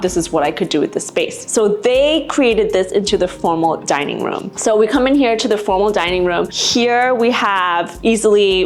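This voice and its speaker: Chatty woman hosting a house tour, music behind. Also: medium pitch. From Singapore. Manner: chatty, conversational, explanatory. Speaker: vlog host. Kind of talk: casual house tour presentation to camera. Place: indoors in a house. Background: background music.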